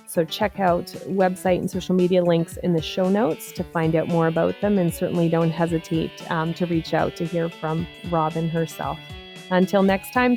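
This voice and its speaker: A woman's voice: lowish voice, darkish tone. A woman hosting a podcast interview who encourages her guest and sums up the guest's points. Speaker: podcast host.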